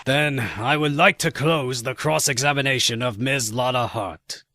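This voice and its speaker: A man playing a pompous old courtroom judge with a gravelly, formal delivery in an audio drama. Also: medium pitch, unhurried, sharp tone, no room sound, studio quality. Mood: bemused, ruling. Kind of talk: fan audio drama voice acting.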